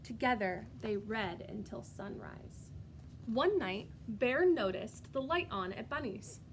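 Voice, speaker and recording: Slow, high-voiced woman reading to preschoolers. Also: slight background noise, low-quality recording. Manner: expressive, sing-song, addressing children directly. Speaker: preschool teacher reading aloud. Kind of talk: animated storybook read-aloud with questions to young children.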